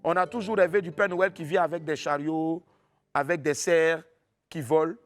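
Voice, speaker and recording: High-voiced male TV host. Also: normal speed, faint music behind. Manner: animated, repeats co-host's lines back. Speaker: TV show host. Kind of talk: lively studio chat and news banter.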